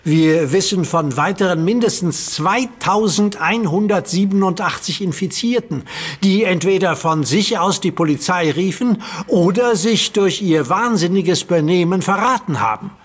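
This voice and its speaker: An earnest young hero with a slightly husky voice sitting a touch high. He reports findings plainly and seriously, in a German radio play. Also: lively intonation, normal speed.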